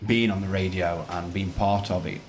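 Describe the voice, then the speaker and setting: A man with a fairly low voice talks as a teacher being interviewed. He is enthusiastic and a bit rambling, circling back over phrases, with noticeable noise in the background. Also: steady tone, normal speed. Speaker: primary school teacher, interview guest. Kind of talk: conversational interview answers.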